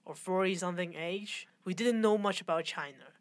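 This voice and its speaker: Low-pitched Hong Kong woman in interview. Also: no echo. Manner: halting non-native English phrasing. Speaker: interview guest, fashion designer. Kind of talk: conversational interview.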